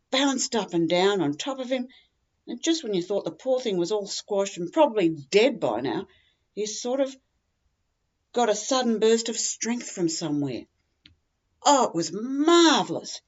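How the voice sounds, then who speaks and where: A woman's voice: medium pitch, a little mellow, muffled recording, natural voice. A woman with an Australian accent playing a chatty, tactless older woman who rambles on obliviously in a humorous monologue. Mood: excited, gossipy. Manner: rambling, matter-of-fact, oblivious, opinionated.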